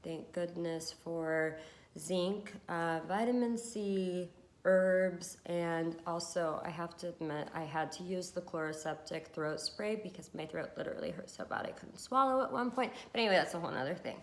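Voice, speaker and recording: Congested, slightly low-voiced woman vlogger; chatty and encouraging, lots of ums. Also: moderate pace. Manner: chatty, encouraging, many fillers. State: congested from a cold. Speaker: beauty vlogger and hypnotherapist. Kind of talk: casual talk to camera.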